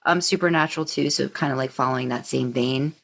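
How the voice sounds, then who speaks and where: A woman hosting a casual chat, responding to a guest in a halting, loosely phrased way. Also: rumbling low, vocal fry. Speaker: podcast host.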